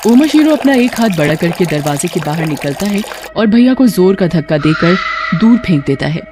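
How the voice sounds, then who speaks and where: A man's voice: sits high, big pitch swings, normal speed, heavy background noise. A man telling a horror story as a cartoon narrator, with a dramatic, suspenseful delivery.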